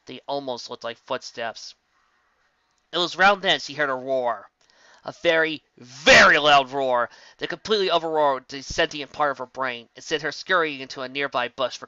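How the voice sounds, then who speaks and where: A man narrating a fan fiction story in a steady reading manner. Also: on the high side, no echo. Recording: dry, dull lo-fi home recording.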